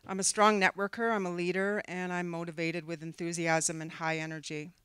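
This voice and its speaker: A woman's voice: on the low side, flat delivery, fairly quick, fairly bright tone, smooth. A middle-aged woman with a Canadian accent reading a prepared campaign speech into a handheld mic at a podium, earnest with the odd filler. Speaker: candidate for a board seat. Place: conference room, in front of a backdrop.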